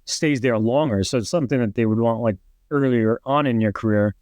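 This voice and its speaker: A man's voice: medium pitch, brisk, darkish tone, no room sound. Middle-aged New York man telling stories. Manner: rambling, heavy use of "like", acts out quotes. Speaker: retired fire captain, guest. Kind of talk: casual podcast storytelling.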